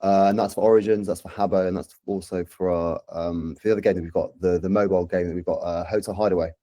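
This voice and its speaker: A man from London in his thirties speaks with a low, mellow voice and a London accent. The sound is close and dry. He chats casually as a guest on a podcast. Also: fairly even intonation, quick pace, no echo. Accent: London English. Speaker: podcast guest, social media and community manager. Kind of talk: casual chat.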